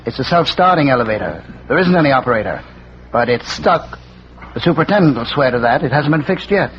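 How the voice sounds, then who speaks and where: A man's voice: medium pitch, lively intonation, brisk, natural voice. An actor playing a plain-spoken, insistent working man pleading his case in a 1940s radio drama. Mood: defensive, earnest. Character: earnest, defensive working man under police questioning. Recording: noisy muffled vintage radio recording.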